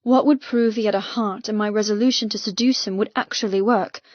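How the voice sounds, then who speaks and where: A woman narrating dramatic first-person fiction as an audiobook, intense, expressive and deliberate. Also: medium pitch, no room sound, dull audio. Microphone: close mic in dry room.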